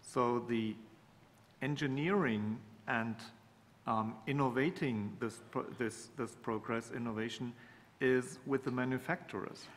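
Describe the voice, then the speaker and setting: A man's voice: medium pitch, unhurried. A middle-aged man speaking on a conference panel, hesitant and repeating words as he searches for them. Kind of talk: panel discussion. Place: large conference hall. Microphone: table microphone. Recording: reverberant hall, faint room noise.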